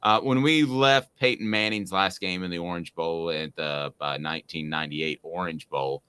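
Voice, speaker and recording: A man's voice: low-pitched, fairly even intonation, moderate pace, bright, forceful tone, smooth, no echo. A man in his forties hosting a sports talk show, telling rambling, self-mocking stories in a casual way. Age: 40s. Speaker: sports radio host.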